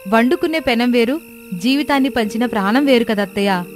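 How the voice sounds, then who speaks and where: A woman's voice: a little high, a little fast, natural voice. A woman voicing a caring, earnest poor daughter-in-law from a village in a children's story cartoon. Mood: earnest pleading. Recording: music bed behind the voice.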